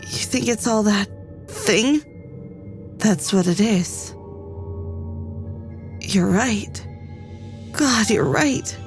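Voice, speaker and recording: A woman's voice: medium pitch, expressive, very slow, pauses often, faint music behind. A woman playing an anxious, headstrong academic on a space crew in an audio drama, sweary and argumentative. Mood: distressed.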